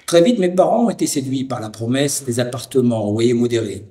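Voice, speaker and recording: Male narrator from France, mid-range voice, reciting a personal, poetic documentary text with deliberate phrasing. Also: mid-range voice, lively intonation, warm tone. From France (Lyon area).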